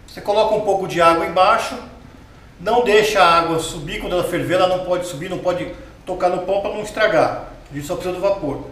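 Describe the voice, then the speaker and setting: A man's voice: high-pitched, fast, fairly bright tone, a little hiss or hum. Chatty Brazilian man teaching baking in a kitchen. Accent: Brazilian Portuguese. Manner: chatty, explains step by step, asks the viewer questions. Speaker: cooking show host. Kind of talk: casual cooking demonstration. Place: home kitchen with tiled wall, indoors. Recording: roomy kitchen sound from a distance.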